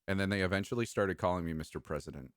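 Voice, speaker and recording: A man's voice: low-pitched, racing, fairly bright tone, dry recording, clean studio sound. A man co-hosting a casual chat, joking around and sometimes trailing off mid-thought. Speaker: podcast co-host.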